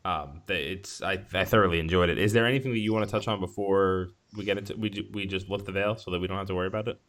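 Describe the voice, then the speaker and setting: A man co-hosting a podcast, chatting casually about a film with a halting, rambling delivery. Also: sits low, fairly even intonation, fairly bright tone. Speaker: podcast co-host.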